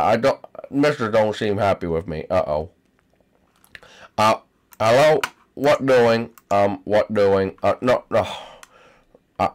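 A man's voice: mid-range voice, voice pushed down. A man putting on a whiny babyish boy. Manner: baby talk, broken grammar, wailing. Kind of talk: gameplay commentary in character.

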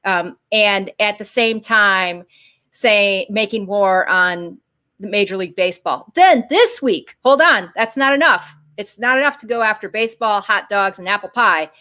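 A middle-aged woman hosting a political discussion show over a video call, who gets excited and jumps up into a higher voice. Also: moderate pace. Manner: excitable, pitch jumps high when excited. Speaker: show host. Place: home room with bookshelves.

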